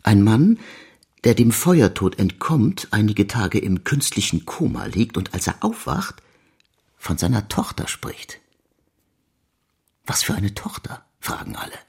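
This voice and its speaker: A man's voice: lowish voice, big pitch swings, a little slow, creaky, darkish tone, husky, heavily acted. An actor playing a blunt, probing male chief inspector who presses his questions in a radio crime drama. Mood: insistent, pressing. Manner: confronting, insistent interrogation.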